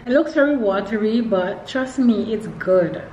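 A young woman talks to camera in a casual vlog style. Her voice sits at a medium pitch and her delivery is informal, like addressing followers directly. Some background noise is audible. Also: lively intonation, measured pace, darkish tone, roomy sound, highs cut off. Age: young adult. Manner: informal, addressing viewers as y'all. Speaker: vlogger.

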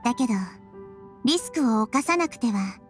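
A woman voicing a young girl goddess, calm, gentle and wise, who speaks softly and with composure. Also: a little high, normal speed, natural voice. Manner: soft, composed, reassuring. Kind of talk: Japanese game voice acting. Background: game music.

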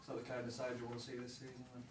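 A man, a reporter putting brisk questions to a coach, heard off-mic. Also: medium pitch, monotone, a little hiss or hum. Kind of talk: press conference questions. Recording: off-mic, distant.

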